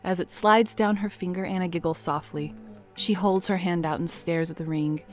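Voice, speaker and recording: A woman narrating an audio drama in a measured, dramatic storytelling manner. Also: fairly low pitch, steady tone, soft background music.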